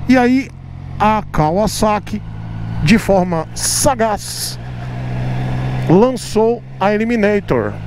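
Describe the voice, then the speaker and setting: High-voiced Brazilian motovlogger in traffic. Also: male voice, lively intonation. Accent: Brazilian Portuguese. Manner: chatty, teasing, drawn-out emphasis with chuckles. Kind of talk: riding commentary vlog. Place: outdoors on a motorcycle in city traffic. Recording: helmet mic with road noise. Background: traffic and engine noise.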